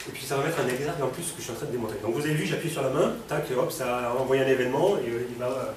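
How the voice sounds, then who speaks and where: A man with a cold demos a robot to a live audience, casual and full of fillers, often asking the room questions. His voice sits at a middling pitch. He is recorded from a distance in a reverberant hall, with clear background noise. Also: very fast. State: has a cold. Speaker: robotics presenter. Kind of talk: live technical demo to an audience.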